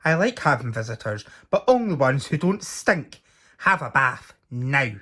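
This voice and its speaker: A man voicing a booming, grumpy male giant who roars his chant. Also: sing-song, measured pace, slightly rough, exaggerated voice. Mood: gruff. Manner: loud chanting roar.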